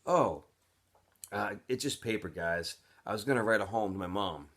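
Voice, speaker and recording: A man's voice: on the low side, moderate pace, fairly bright tone. A man voicing an earnest, weary teenage camp newcomer in a storybook, hesitant and placating.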